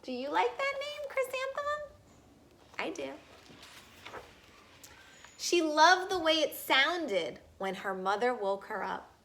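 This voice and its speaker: A woman reads a children's story aloud in a high, very expressive voice. She takes her time with the sentences and turns to her young listeners with simple questions. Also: takes it slowly, creaky, long pauses, a little hiss or hum. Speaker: storyteller reading a picture book aloud to children.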